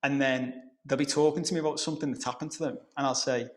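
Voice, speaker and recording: A middle-aged man from Liverpool with a softened Scouse accent, explaining ideas as a coach on a podcast. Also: medium pitch, steady tone, fast talker. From Liverpool, England. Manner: explanatory, conversational, occasional swearing. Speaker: guest coach. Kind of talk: podcast conversation.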